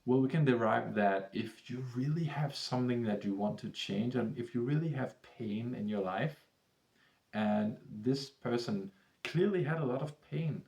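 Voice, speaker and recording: A man with a Danish accent, a tutor speaking English as a second language and pitching one-on-one lessons to camera in a rambling, salesy way full of restarts. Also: a little mellow, roomy sound. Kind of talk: persuasive talk to camera.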